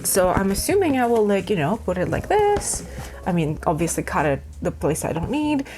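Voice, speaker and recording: A chatty woman from Ukraine talks casually to camera about her crafts. Her pitch leaps up and down as she rambles through fillers and restarts. Faint music plays under her voice. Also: huge pitch swings, normal speed, mellow. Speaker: craft vlogger.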